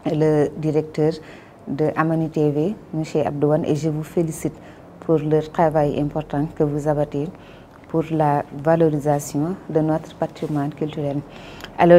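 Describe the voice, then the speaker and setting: A Senegalese woman being interviewed as a guest, speaking French in a measured, formal way with frequent hesitations; her first language is Wolof. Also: low voice, dark tone, a little hiss or hum. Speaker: guest, publisher and Wolof teacher. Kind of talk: interview answers.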